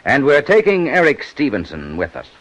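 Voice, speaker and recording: An actor playing a sharp, methodical police inspector in 1950s radio detective style, commanding and accusatory. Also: male voice, mid-range voice, wildly swooping pitch, normal speed, heavy background noise. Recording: old-time radio broadcast recording.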